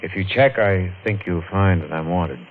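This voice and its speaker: A man's voice: deep voice, moderate pace, lightly acted. Noisy vintage radio thriller: haunted male killer, unraveling, overwrought and intense, played by a male actor. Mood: drained resignation. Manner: overwrought, intense dramatic delivery. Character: haunted, guilt-ridden man who killed his wife, unraveling. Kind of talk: 1950s radio thriller acting, first-person narration. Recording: muffled, noisy old radio recording.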